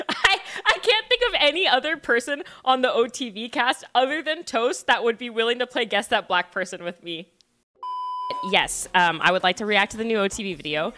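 A woman's voice: on the high side, expressive, moderate pace, bright, forceful tone. A young adult woman streaming from home, chatting casually as she reacts to a video, rambling and laughing often. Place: home room, indoors. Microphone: studio mic on boom, headset.

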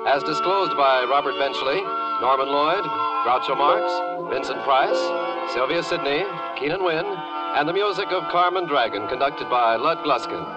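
A man's voice: on the high side, low-quality recording. A man announcing a 1940s network radio program in formal, declamatory scripted style. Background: loud orchestral music.

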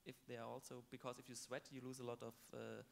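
Man on a conference panel, gravelly voice, explains stuff pretty flat. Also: medium pitch, quick pace. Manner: explanatory, matter-of-fact. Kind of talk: panel discussion answer. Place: large conference hall stage. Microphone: handheld microphone.

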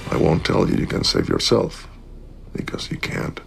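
An actor playing a cold, implacable hitman, hushed and merciless as he bargains. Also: normal speed, in a whisper, lightly acted. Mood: cold threat. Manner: flat-worded, hushed, implacable. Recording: film clip under loud music.

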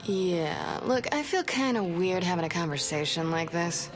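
A woman's voice: lowish voice, lively intonation, moderate pace, natural voice. A woman playing a tough, impatient gang boss in a video game, blunt and casual in manner. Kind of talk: video game cutscene acting. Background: background music.

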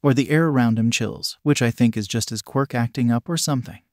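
A man's voice: medium pitch, a little fast, dry recording, clean studio sound, close to an everyday voice. A man voicing an easygoing, teasing adult male friend in a story reading, chatty and slangy. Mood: musing, casual. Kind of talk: acted dialogue in story reading.

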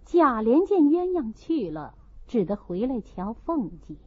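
A woman's voice: on the high side, big pitch swings, a little slow, warm tone, husky, dry recording, muffled recording. A woman narrating a classic novel as an audiobook, reading expressively from the text.

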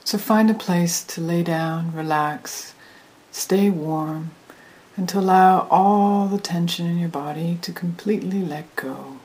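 Female meditation guide: low-pitched, soothing, very unhurried, breathy near-whisper, trance-induction delivery. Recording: hissy recording with steady noise.

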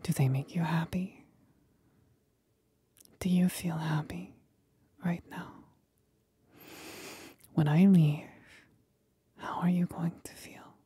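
A woman playing a sly, condescending demon companion in an ASMR roleplay, speaking in a husky, breathy whisper with slow, teasing deliberation. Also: lowish voice, fairly even intonation, dark tone, dry recording, heavily acted. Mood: cool, probing.

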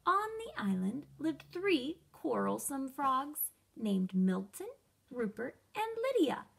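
A woman reads aloud for young children. Her voice is high and very lively, with some creak. She phrases things slowly and emphatically. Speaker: storybook reader for children. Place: room at home.

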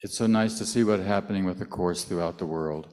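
Deep-voiced older American man. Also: normal speed. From United States. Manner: calm, speaks in short phrases, laughs lightly. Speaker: speaker. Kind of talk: talk with live interpreter. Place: large hall with audience, indoors. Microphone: handheld mic.

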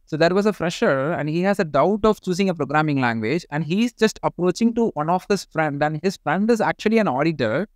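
Indian-English man, thirties, high voice, tech vlogger, dead-dry close mic. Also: brisk, hardly any pauses, dry recording. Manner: animated, gestures a lot while explaining. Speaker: tech YouTuber. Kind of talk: casual talk to camera. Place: small home studio room.

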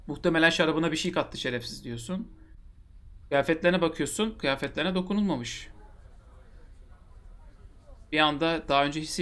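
A man's voice: fairly high pitch, a bit bright. A man in his thirties reading a visual novel aloud on a live stream with joking asides, into a headset mic. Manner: joking asides between narration. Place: home streaming room.

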